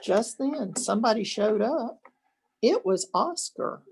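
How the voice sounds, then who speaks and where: Older woman, slow husky storybook reader. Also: on the high side, animated, darkish tone. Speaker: grandmother reading a picture book. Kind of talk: expressive children's storybook read-aloud.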